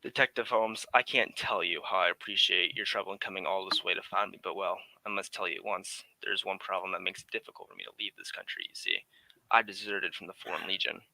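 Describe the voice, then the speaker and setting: A man's voice: low voice, steady tone, fast talker, creaky, pushed and bright, dry recording, exaggerated voice, poor audio. A man playing a breezy, cynical English aristocrat in exile, in a theatrical radio drama manner. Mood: genteel, insistent. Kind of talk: 1940s radio drama acting.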